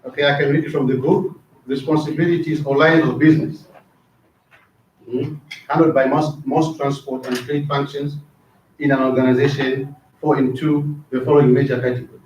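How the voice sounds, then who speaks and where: A man's voice: mid-range voice, flat delivery, moderate pace, a little mellow, a little hiss or hum. A middle-aged man from Zambia teaches a class in a casual lecture style, often checking in with "right?" and repeating words as he goes. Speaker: trainer. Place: training classroom. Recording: echoey room, distant mic, dull sound.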